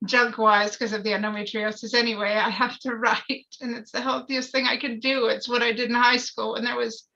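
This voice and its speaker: A woman's voice: fairly high pitch, little pitch movement, fairly quick, gravelly, hardly any pauses, pushed and bright. A woman in casual discussion, speaking candidly and emphatically about things in a personal way, over dull lo-fi audio.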